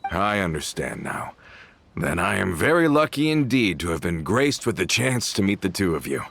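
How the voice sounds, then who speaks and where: A man's voice: sits low, very animated, normal speed, creaky, fairly bright tone, slight background noise, full character voice. A man voicing a loyal, courteous male gang member in a video game, with formal phrasing. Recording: game audio with faint music.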